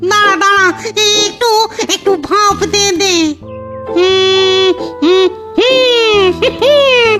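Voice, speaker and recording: A squeaky, teasing cartoon ghost, extremely high and childlike. It muses theatrically with hums and little questions, like a know-it-all, over loud music. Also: female voice, fairly even intonation, takes it slowly. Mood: pondering, smug. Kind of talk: exaggerated Bengali cartoon acting.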